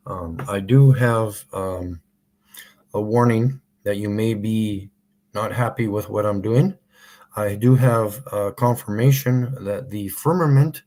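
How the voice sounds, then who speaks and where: Slow-talking Canadian man ranting sarcastically. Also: lowish voice, mellow. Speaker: live streamer. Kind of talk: live stream rant and prank call.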